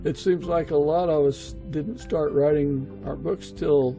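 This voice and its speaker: A man's voice: fairly high pitch, fairly even intonation, measured pace, creaky, warm tone, soft background music, low-quality recording. A man hosting a podcast, introducing the show and interviewing in a conversational way. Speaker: podcast host.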